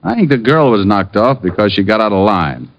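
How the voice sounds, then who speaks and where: An actor playing a wry, confident private detective in an old radio drama, speaking and narrating in a dry, clipped manner. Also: male voice, fairly low pitch, sing-song, brisk, no echo. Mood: confident persuasion. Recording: muffled old radio recording with music.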